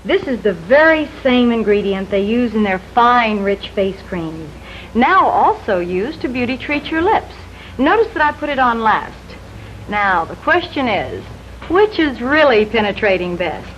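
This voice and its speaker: A woman's voice: fairly high pitch, sing-song, a little slow. A woman giving a scripted product demonstration for a 1950s television commercial. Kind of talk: scripted live television advertising pitch. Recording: dull, lightly noisy 1950s television broadcast.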